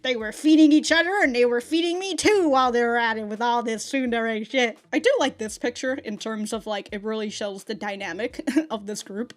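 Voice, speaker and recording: A woman's voice: on the high side, fairly even intonation, fairly quick. A woman giving casual, off-the-cuff reaction commentary in a slangy, profane, giggly manner. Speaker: anime reaction reviewer.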